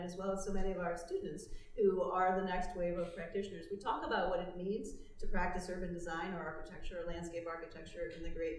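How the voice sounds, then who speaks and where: A woman's voice: lowish voice, a little mellow, slight background noise. Woman moderator in an echoey hall. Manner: fluent, uses hedges like "kind of" and "you know". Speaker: event host, moderator. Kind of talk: moderating a panel. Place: lecture hall. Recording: echoey hall, mic at a distance.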